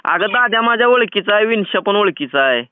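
A man's voice: high voice, lively intonation, a little fast, noisy background. A man with a rural Maharashtrian Marathi accent chats casually and insistently as a teasing prank caller, in colloquial speech over a tinny phone-like recording. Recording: tinny, phone-like band-limited sound.

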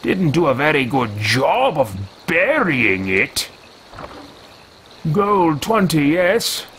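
A man's voice: fairly high pitch, sing-song, slow pace, a bit bright, a little hiss or hum, exaggerated voice. A man voicing a formal male mage adventurer who mutters to himself in stately phrases while roleplaying a game. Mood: pleased.

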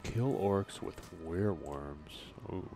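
A man's voice: low-pitched, slow pace. A man doing casual let's play commentary, reading game prompts aloud and muttering to himself, mildly puzzled. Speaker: gamer, let's play host.

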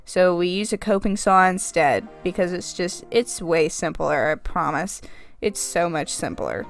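A woman's voice: on the low side, measured pace, gravelly, faint music behind. A young woman with the sniffles giving a rambling, self-deprecating voice-over for her craft video. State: stuffy nose from the sniffles. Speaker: craft vlogger. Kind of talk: casual voice-over narration. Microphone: desk microphone, voice-over.

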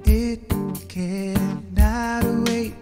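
A young man from Nigeria sings and jokes with his listeners from a living room, his voice pitched very high. He speaks slowly and unhurriedly, and his own acoustic guitar rings loud under every line. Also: fairly even intonation, long reverb tail. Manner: joking, playful stage patter between songs. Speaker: musician performing. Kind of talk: live acoustic song set with banter. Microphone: stand mic in front of him.

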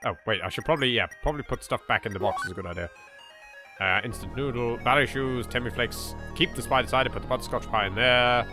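A man with an English accent hosting a voiced game playthrough, chatty and quick to yelp. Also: mid-range voice, music in the background. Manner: chatty, bursts into loud laughter and yelps. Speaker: let's play host.

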